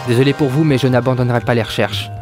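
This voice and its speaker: A man's voice: medium pitch, fairly even intonation, racing, over music, natural voice. A man playing a weary, wry and stubborn 1930s private detective in a French audio drama, narrating and talking in noir style. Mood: firm, resolute.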